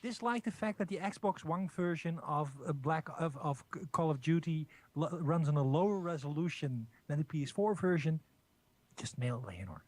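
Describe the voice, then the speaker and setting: A man with a Dutch accent co-hosting a casual gaming news podcast, halting and playful, with many restarts and fillers. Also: on the high side, lively intonation, no echo. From Netherlands. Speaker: podcast co-host.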